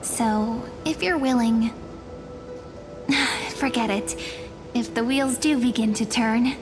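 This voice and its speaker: A high-voiced, gently teasing young woman. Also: slow pace. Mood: tender, wistful. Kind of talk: video game voice acting. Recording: background music under the voice.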